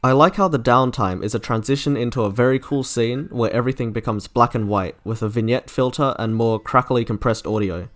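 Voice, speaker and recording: A man's voice: mid-range voice, a little fast, few pauses, a bit bright. A man delivering scripted commentary as the host of a video reviewing game character trailers. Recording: music under the voice. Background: background music.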